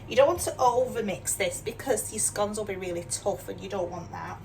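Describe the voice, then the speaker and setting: An older woman with a mixed Yorkshire accent chats away while she cooks at home, poking fun at herself and ending her lines with little questions. She sits some distance from the mic. Steady background noise hums behind her. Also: medium pitch, fairly quick, vocal fry. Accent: mixed northern English, Yorkshire. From Yorkshire, England. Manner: chatty, self-deprecating, asks tag questions. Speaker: cooking vlogger. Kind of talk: casual chatty cooking demonstration. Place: home kitchen.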